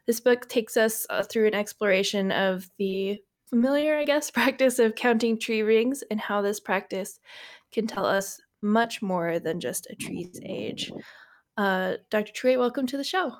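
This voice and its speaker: A woman's voice: medium pitch, unhurried. A woman hosting a podcast, reading the introduction and asking questions in a friendly manner. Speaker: podcast host.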